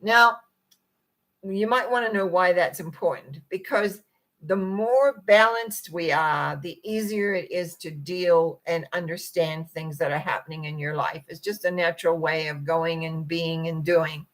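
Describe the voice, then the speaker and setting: Croaky, husky middle-aged woman. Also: fairly low pitch, measured pace. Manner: gentle, meandering, with throat clearing. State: croaky, rough voice. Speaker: spiritual teacher. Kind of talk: reflective talk to viewers.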